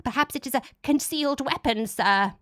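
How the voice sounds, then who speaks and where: A woman's voice: sits high, moderate pace, no echo, clean studio sound, voice pushed up, natural voice. Woman doing a nervous demon underling. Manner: nervous, deferential. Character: nervous, deferential demon underling answering a feared lord. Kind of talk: dramatic audiobook character voice.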